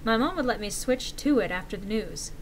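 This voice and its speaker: A woman's voice: on the high side, animated, a little fast, a little hiss or hum, close to an everyday voice. A woman voicing a matter-of-fact forum poster piecing together childhood memories in a conversational dramatic reading. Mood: thoughtful recall. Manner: conversational, recollecting.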